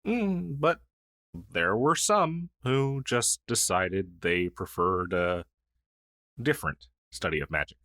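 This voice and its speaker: A man's voice: lowish voice, slow, a bit bright, dry recording, clean studio sound. A man playing a friendly, down-on-his-luck crow demon who was once a witch's familiar, in a fantasy audio roleplay, speaking earnestly with hesitant stammers. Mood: warm, explanatory.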